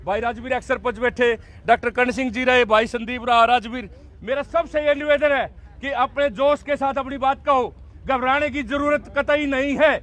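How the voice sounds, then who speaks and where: A man addresses a protest crowd and then a reporter with earnest, formal appeals. His voice is high for a man. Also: steady tone, normal speed, fairly bright tone. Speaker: protest speaker. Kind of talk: public address and interview.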